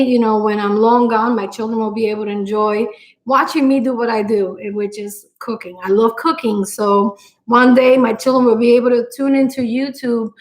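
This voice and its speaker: A middle-aged woman from Puerto Rico with a strong Puerto Rican accent, chatting live with her viewers and thanking them, with many pauses and fillers. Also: a little high, poor audio. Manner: warm-hearted, grateful, frequent fillers and pauses. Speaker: cooking channel host. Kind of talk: live stream chat with viewers.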